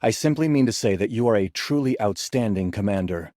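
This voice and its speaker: An actor playing a stringent, efficient executor in a game, with precise, formal, matter-of-fact delivery. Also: male voice, lowish voice, expressive, fairly quick, warm tone, a little hiss or hum, close to an everyday voice. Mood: businesslike. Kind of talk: scripted game character monologue.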